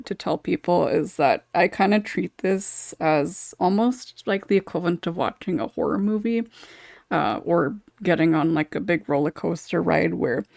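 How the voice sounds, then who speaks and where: A woman's voice: lowish voice, vocal fry, darkish tone, no echo, clean studio sound. A woman talking casually in a panel discussion, thinking aloud and hedging as she goes. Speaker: podcast panelist.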